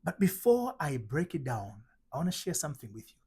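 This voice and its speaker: Slow emphatic husky-voiced man from Tanzania. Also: fairly high pitch, very animated, a little mellow. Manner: slow, emphatic, with rhetorical questions. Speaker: identity transformation coach. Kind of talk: spiritual teaching talk.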